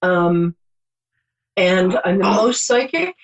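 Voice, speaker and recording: Woman psychic host, middle-aged, reassuring, rambling, chatty, medium pitch. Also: very animated, slow, dull audio. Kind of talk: call-in psychic reading.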